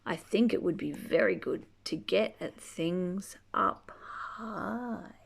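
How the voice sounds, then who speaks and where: A woman reading a rhyming children's book aloud expressively, drawing out key words and chatting gently to young listeners. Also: fairly low pitch, vocal fry, breathy edge. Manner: animated, drawn-out key words, gentle questioning asides. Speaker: storybook reader reading to children.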